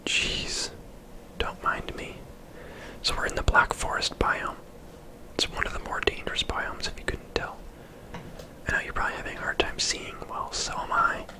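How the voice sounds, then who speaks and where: An ASMR video host whispering casual, rambling gameplay commentary in a breathy, unvoiced voice. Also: normal speed, long pauses, low-quality recording. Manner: soft-spoken, rambling, casual.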